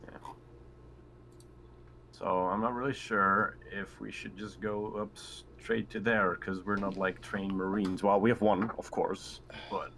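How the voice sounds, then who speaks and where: A man's voice: on the low side, flat delivery, unhurried, vocal fry, fairly bright tone, slight background noise. A man bantering casually as a player in a tabletop roleplaying game.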